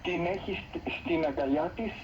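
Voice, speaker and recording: A woman's voice: lowish voice, normal speed, a little mellow, highs cut off. A woman talking on a vintage radio, giving a spoken account. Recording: band-limited vintage radio, clear noise.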